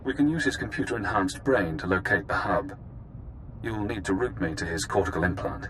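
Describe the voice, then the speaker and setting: A man playing a calm, precise intelligence handler in a scripted game cutscene, speaking in a measured, detached way. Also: on the low side, noisy background, natural voice. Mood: cool, calculating. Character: calm, clinical male intelligence handler and tech expert.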